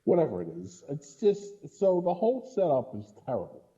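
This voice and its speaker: A man's voice: fairly high pitch, huge pitch swings, normal speed, dark tone, dull audio. A man giving casual, critical film commentary on a podcast in an exasperated way, often checking his points with a questioning tag. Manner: exasperated, punctuates points with "right?". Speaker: podcast co-host or guest critic.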